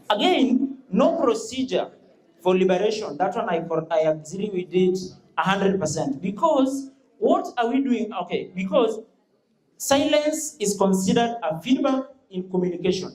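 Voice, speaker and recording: A man's voice: sits high, big pitch swings, moderate pace, darkish tone. A male student debater makes an earnest, formal argument and quotes proverbs. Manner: earnest, quoting proverbs, pleading for time. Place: university debate hall. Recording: echoey debate hall.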